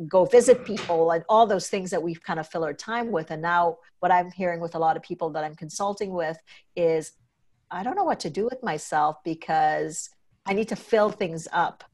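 A woman from Trinidad and Tobago hosts a podcast, talking in a relaxed conversational way. Her voice sits fairly low for a woman. She brings in stories and acts out the quoted lines. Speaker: podcast host and consultant.

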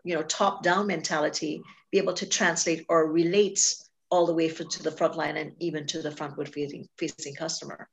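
A woman's voice: lowish voice, vocal fry, a bit bright, low-quality recording. A woman from Trinidad and Tobago hosting a podcast interview, chatty and asking follow-up questions. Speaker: podcast host. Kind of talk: casual interview chat.

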